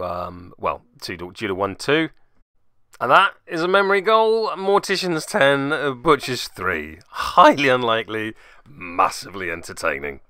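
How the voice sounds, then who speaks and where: A man's voice: medium pitch, sharp tone, clear, resonant tone, no echo, studio quality. A man narrating a casual play-by-play recap of a tabletop game as its host, with wry, self-deprecating asides.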